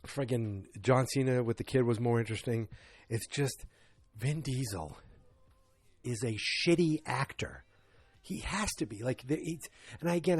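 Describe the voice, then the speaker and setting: A male podcast host talks through a film review in a loose, sarcastic ramble, breaking off mid-thought. His voice is slightly husky and sits at a middle pitch. It sounds dry and close on the mic. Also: darkish tone, dry recording. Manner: rambling, sarcastic, self-interrupting. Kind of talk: casual solo movie review.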